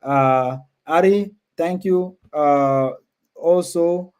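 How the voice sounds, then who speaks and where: A man's voice: a little high, extremely slow, darkish tone, clear, resonant tone. A man hosting a casual livestream, talking to viewers and often repeating his words and phrases. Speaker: live stream host. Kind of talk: casual livestream chat, reading and answering viewer comments.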